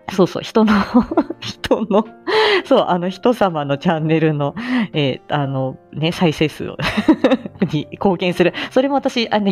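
A woman's voice: on the low side, darkish tone. A middle-aged woman hosting a casual livestream from home, chatty, playful and self-deprecating in manner. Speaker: livestream host.

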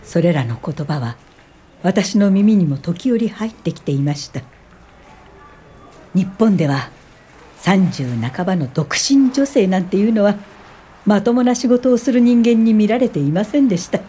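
A woman's voice: medium pitch, lively intonation, measured pace, mellow, slightly rough. An older woman acting as an earnest social worker who narrates her own life in a radio drama. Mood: reflective. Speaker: narrator and lead actor.

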